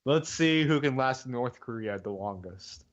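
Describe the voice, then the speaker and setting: A man's voice: medium pitch, lively intonation, measured pace. Male podcast guest, mid-range voice, playful repetitive banter, muffled online-call recording. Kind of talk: casual group podcast banter.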